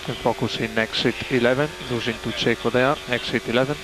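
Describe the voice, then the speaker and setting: Man, race engineer, terse radio calls, clipped, technical, clear vehicle noise. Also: mid-range voice, steady tone, quick pace. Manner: clipped, reading out numbers. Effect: team radio. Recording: noisy motor racing radio link.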